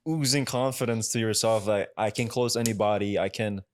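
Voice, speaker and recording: A man's voice: on the low side, fairly even intonation, fairly quick. A young man hosting an interview podcast, talking earnestly and often checking in with "right?". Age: young adult. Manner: earnest, reflective, keeps asking "right?". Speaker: podcast host. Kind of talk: casual interview chat.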